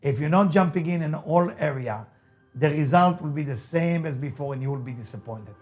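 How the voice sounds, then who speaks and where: A man's voice: a little high, a little fast, soft background music. A man with an Israeli accent, a native Hebrew speaker, giving an emphatic motivational lecture, repeating key phrases and asking rhetorical questions. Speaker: rabbi, motivational speaker and teacher.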